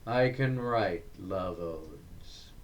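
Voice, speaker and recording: A man with a fairly low voice reads dramatic verse aloud at an extremely slow pace. His delivery is deliberate and declamatory, with short phrases set apart by pauses. A faint noise sits behind the recording. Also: lively intonation. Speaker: reader of poetry. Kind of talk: dramatic recitation of Victorian verse.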